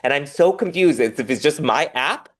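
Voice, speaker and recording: A man talking casually and candidly as a podcast guest, animated and rambling, with self-deprecating humor. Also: a little high, normal speed. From diaspora Korean, raised in the West.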